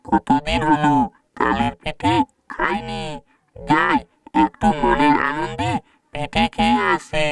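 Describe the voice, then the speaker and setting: A greedy, mischievous she-ghost from a cartoon, put on by an actor, musing in slow, drawn-out phrases. The voice sits at some distance, with a murmur of crowd behind it. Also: medium pitch, very animated. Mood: craving. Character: greedy mischievous female tree-dwelling ghost, the shakchunni. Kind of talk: scripted cartoon voice acting.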